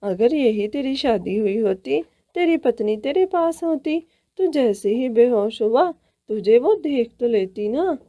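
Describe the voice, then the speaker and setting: A woman's voice: on the high side, expressive, unhurried, no room sound, dull audio. A woman voicing a fussing, dramatic elderly aunt bird who nags and dotes in a cartoon. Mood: nagging. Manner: wailing, dramatic, nagging.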